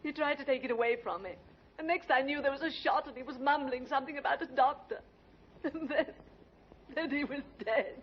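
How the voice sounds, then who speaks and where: An actress playing a jealous, haughty young society woman prone to shrill, hysterical laughter in a 1950s radio drama. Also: a little fast, a little hiss or hum, close to an everyday voice. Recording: dull old 1950s radio recording.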